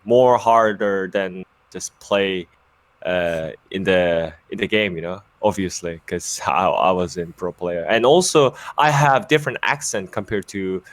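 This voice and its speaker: A young man from Busan who speaks English with a Korean accent, a former pro player and caster chatting casually in an interview, halting with many fillers and restarts. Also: low voice, no echo. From Busan, South Korea. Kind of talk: casual interview over a call.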